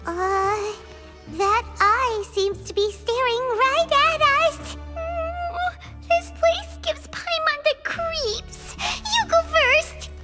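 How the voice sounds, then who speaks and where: A woman voicing a small, excitable, timid fairy companion with a squeaky childlike voice in an anime game. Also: drawn-out words, faint music behind, full character voice. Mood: nervous. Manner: refers to herself in the third person, exclaiming. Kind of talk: English anime game voice acting.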